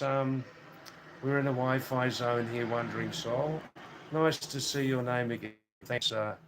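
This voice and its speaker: A hoarse man live-streaming from a hill village, reading out viewers' comments and greeting them by name in a halting way with many fillers. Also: medium pitch, slight background noise.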